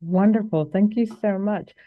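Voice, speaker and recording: A man's voice: sits high, measured pace. A man hosting an online open day, asking casual, roundabout questions in an encouraging manner. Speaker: academic host asking questions. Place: video call. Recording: thin phone-like online call.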